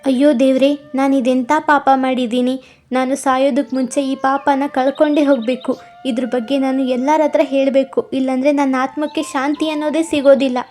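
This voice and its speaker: A woman's voice: sits high, flat delivery, fairly quick, over music, lightly acted. A woman voicing a dying old village woman. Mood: remorseful. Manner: lamenting, confessional. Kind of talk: animated storybook voice acting.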